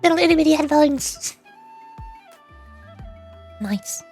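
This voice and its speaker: A woman game streamer talking casually in chatty, expressive, rambling asides. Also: high voice, takes it slowly, gravelly. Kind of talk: livestream voice acting of game dialogue with casual commentary. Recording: music in the background. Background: game music.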